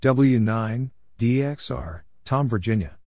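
A man's voice: medium pitch, slow pace, darkish tone, no echo, clean studio sound. A man reads out a practice drill in short, isolated phrases, spelling out letters and numbers one by one. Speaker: Morse code practice narrator. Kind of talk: spoken drill readout of radio exchanges.

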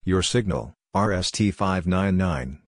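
A man with a low voice reads short practice phrases one at a time, in a clipped instructional delivery. There is clear noise behind his voice. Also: expressive, unhurried. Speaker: Morse code practice narrator.

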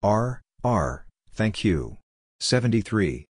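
A man reading short scripted practice phrases as a narrator, in a deliberate, clipped, phrase-by-phrase way. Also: on the low side, sing-song, breathy edge.

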